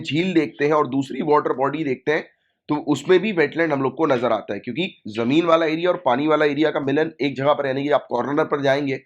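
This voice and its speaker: Hoarse man teaching exam prep. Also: fairly high pitch. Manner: explanatory, repeats key points and asks "right?". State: sore throat. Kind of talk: online exam-prep lecture. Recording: dull lo-fi recording.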